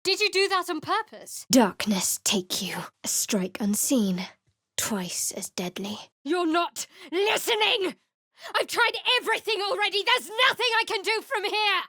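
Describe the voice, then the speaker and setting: A woman voicing a guarded, sardonic young female cleric of a dark goddess in a fantasy video game, with a dry, secretive, teasing manner. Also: very high-pitched, big pitch swings, measured pace, dry recording, full character voice. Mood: upset, sharp, then hushed, devout whisper. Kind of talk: video game companion voice lines.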